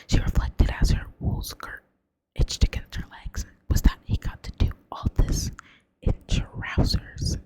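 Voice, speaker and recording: A woman with a Southern US accent reading a novel aloud in a soft whisper, now and then stumbling over words and correcting herself. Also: poor audio. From Southern United States. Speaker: reader.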